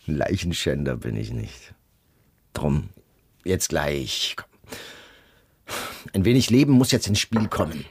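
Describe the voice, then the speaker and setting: Wildly swinging sadistic camp overseer. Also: male voice, extremely low pitch, lively intonation, measured pace, gravelly, pauses often, husky, no echo, heavily acted. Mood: oily, pressing. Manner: sneering, taunting, swinging from barked orders to oily wheedling. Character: sadistic, lecherous, mocking male prison camp overseer. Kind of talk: German radio drama acting.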